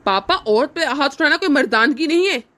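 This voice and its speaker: A woman's voice: high voice, expressive, fairly quick, a bit bright. A woman voicing a principled young son in a cartoon family drama, indignant and pleading. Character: upright young grown son, defending his mother.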